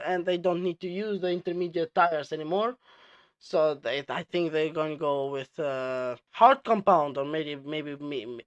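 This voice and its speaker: A man who is a non-native English speaker hosting casual commentary, explaining things in a halting, repetitive way. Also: fairly high pitch, dry recording, highs cut off. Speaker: host reviewing team radio clips.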